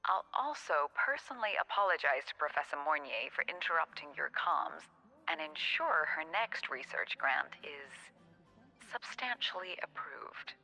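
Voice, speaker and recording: A woman playing a composed, shrewd academy president in a video game, measured and wryly polite. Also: low voice, big pitch swings, vocal fry, pushed and bright, in a large hall, faint music behind, exaggerated voice, poor audio. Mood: conciliatory, wry.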